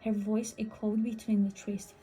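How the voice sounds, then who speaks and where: A woman's voice: mid-range voice, level tone, moderate pace, dark tone. A woman reading a scripted first-person horror story as a storyteller.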